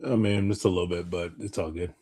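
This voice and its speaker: A man's voice: deep voice, steady tone, fast, dark tone, slight background noise. A man co-hosting a podcast in a casual, rambling chat, circling back on his own points. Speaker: podcast co-host.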